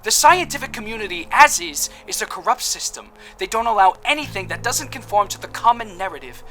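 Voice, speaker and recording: An actor playing a theatrical, grandiose quack doctor who lectures with old-fashioned airs in an audio drama. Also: male voice, high-pitched, big pitch swings, brisk, pushed and bright, soft background music, exaggerated voice. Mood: pompous lecturing. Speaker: shopkeeper healer.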